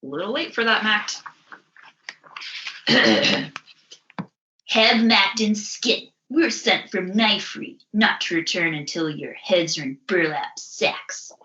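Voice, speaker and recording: A taunting comic-book henchman, voiced by a woman, cold-bloodedly mocking. The delivery is bright and varied in pitch, on slightly lo-fi audio. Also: animated, slow, pauses often, reverberant, slight background noise. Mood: menacing. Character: taunting, ruthless henchman sent to capture fugitives.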